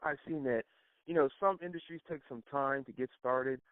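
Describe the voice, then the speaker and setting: Male co-presenter, medium pitch, semi-prepared sales talk over a narrow telephone line. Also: normal speed, smooth. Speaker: co-presenter on an investment call. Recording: roomy, thin phone conference line.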